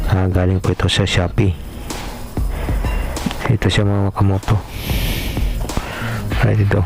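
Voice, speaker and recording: A man from the Philippines hosting a casual unboxing vlog, hesitant and filler-heavy as he talks straight to his viewers. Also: lowish voice. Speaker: vlog host. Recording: clear background music.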